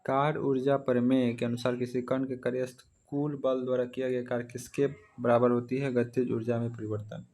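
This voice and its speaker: A man's voice: medium pitch, little pitch movement, brisk, hardly any pauses, a little mellow. An Indian man teaching exam practice, reading out questions and following each with a short answer.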